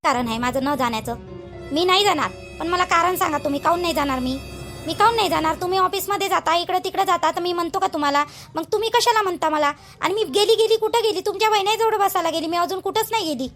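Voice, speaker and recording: A woman's voice: very high-pitched, fast, a bit bright, faint music behind. A woman playing an aggrieved, stubborn young village wife in a family cartoon, protesting and questioning in a Vidarbha Marathi accent. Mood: defiant. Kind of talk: family drama cartoon dialogue.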